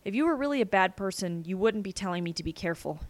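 A woman's voice: mid-range voice, animated, quick pace, no room sound, natural voice. A wary young storybook boy, read by a woman. The manner is nervous and questioning yet defiant, captured close with a dry sound.

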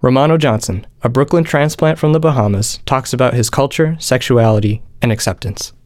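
A man reading a podcast intro in a crisp, formal way, with an even, mid-pitched voice. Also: brisk. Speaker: podcast announcer. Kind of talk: scripted intro announcement.

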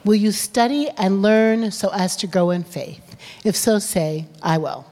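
A woman's voice: medium pitch, measured pace, dark tone. A woman leading new members through their membership vows in a friendly, conversational manner. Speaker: worship leader. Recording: long hall reverb.

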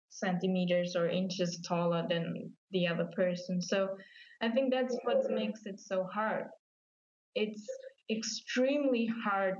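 A woman from Austria with an Austrian German accent, a native German speaker telling her story as an interview guest and acting out her own past thoughts. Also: medium pitch. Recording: slightly dull lo-fi sound.

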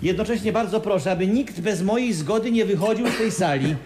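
An actor playing an evasive, commanding mine owner who speaks formally and emphatically in a radio play. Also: male voice, high-pitched, brisk, background noise, lightly acted. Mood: smooth, menacing courtesy. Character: evasive, commanding male mine owner hosting a press conference. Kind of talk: 1980s Polish radio play acting.

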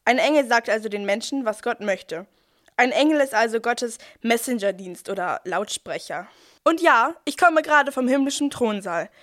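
An eager messenger angel, voiced by a woman, with a fairly high voice. The figure speaks to the listener in plain, friendly words, narrating a nativity radio play. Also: expressive, normal speed, a bit bright.

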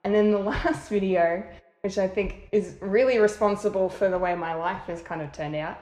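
A woman's voice: mid-range voice, moderate pace. A young woman in her late twenties, a podcast guest chatting casually and rambling a little over a video call. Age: 20s. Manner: relaxed, rambling with fillers and restarts. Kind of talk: casual interview chat. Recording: roomy echo.

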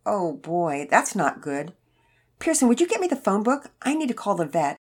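A woman voicing a sweet, frail elderly man in a story, with a gentle, folksy, rambling manner. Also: medium pitch, very animated, normal speed, slightly rough, voice pushed up. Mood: troubled. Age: older. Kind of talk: acted character dialogue in children's story narration.

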